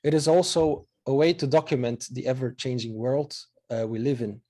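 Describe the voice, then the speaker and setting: A Belgian man in his thirties, speaking English as a second language after Dutch, with a Belgian Dutch accent. His voice is mellow and dark-toned, and he pauses often with filler sounds in a friendly, earnest manner. He presents over a video call microphone. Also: mid-range voice. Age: 30s. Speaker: photographer giving a presentation. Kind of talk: online slideshow presentation.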